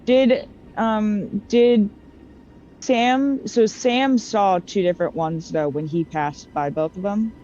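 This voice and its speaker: A woman's voice: medium pitch, slow pace, mellow. A woman playing a tabletop game, chatting casually in an emphatic, repetitive way. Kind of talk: casual roleplay chat. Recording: dull audio, faint noise.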